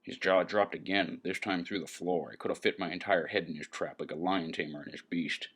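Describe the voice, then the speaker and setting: A man's voice: low voice, fairly even intonation, fairly quick, fairly bright tone, slightly rough. An actor playing a world-weary, wisecracking male private eye in hardboiled noir narration and dialogue, sardonic and brooding.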